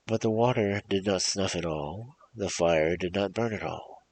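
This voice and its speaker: A man with a gravelly, husky voice hosting a storytelling show, reading fairy tales in a theatrical, emphatic, rhythmic way. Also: deep voice, normal speed, a little mellow, slight background noise. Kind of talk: animated performed fairy-tale reading with casual asides.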